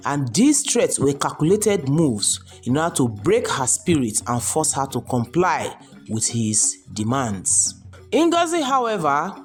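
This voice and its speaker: A woman storyteller reading a scripted folktale narration. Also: deep voice. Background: music behind the voice.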